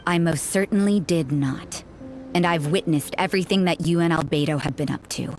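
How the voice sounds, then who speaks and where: A woman's voice: sits low, normal speed, a little mellow. An actress playing a cool, cynical woman who patrols for threats, speaking in a dry, dismissive and suspicious way. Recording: backing music.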